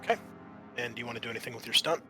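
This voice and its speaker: A man's voice: medium pitch, rapid-fire, loud music behind the voice. A man narrating a tabletop game as game master in a conversational, explanatory way.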